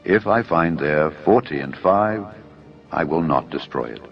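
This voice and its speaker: Man as grave, deep-voiced God. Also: lively intonation, measured pace, vocal fry, heavily acted. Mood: grave, measured. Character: solemn, measured God granting mercy or judgement. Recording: dull narrow audio over music.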